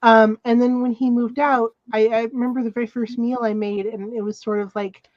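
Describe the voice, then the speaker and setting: A woman's voice: fairly high pitch, flat delivery, a little fast, darkish tone, dry recording. Female podcast panelist, conversational, hesitant pacing, close dry room, slightly lo-fi. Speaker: talk show panelist. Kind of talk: casual podcast chat.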